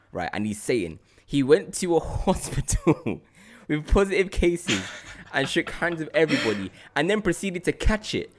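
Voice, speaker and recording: A young man hosting a podcast, chatting casually and slangily in an animated, repetitive way. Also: fairly high pitch. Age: young adult. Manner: animated, repeats phrases for emphasis, slangy. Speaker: podcast host. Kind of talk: casual opinionated chat.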